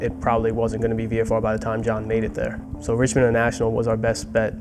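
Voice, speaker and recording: Male air traffic controller, measured interview recount, flat pitch, soft music under. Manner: measured, explanatory.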